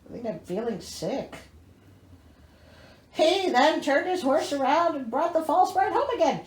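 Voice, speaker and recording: Lady reading storybook w sarcastic comments, super lively high voice, roomy echo. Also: a little slow. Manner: theatrical, exasperated, wry. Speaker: storyteller and host.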